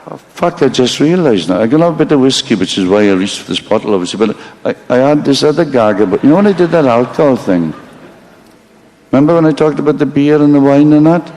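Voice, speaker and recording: A man's voice: mid-range voice, animated, fast, darkish tone, rough recording. A man giving a rambling live stage monologue, swearing freely, mocking himself and stopping to apologize for his slip-ups. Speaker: stand-up storyteller.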